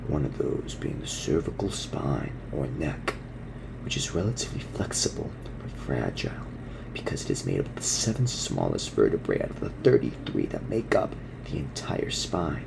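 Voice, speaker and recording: Guy doing a chill cartoon gorilla surfer, super low half-whispered voice, soothing slang, loud background noise. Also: huge pitch swings, normal speed, heavily acted. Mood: laid-back, instructive. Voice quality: gravelly, breathy, half-whispered. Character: laid-back male cartoon gorilla surfer dude instructor. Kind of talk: ASMR roleplay parody.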